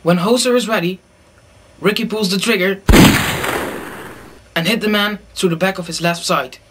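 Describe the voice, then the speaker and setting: A man's voice: high voice, moderate pace, very noisy. A man narrating a scripted radio play as the storyteller.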